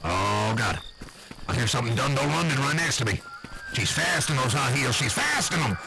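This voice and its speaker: Man putting on a gravelly, folksy backwoods American uncle. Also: medium pitch, moderate pace, over music, rough recording. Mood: agitated, wary. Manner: rambling, folksy, profane, excitable. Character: blustery, nervous backwoods uncle, a rambling male camper. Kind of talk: improvised comic in-character monologue.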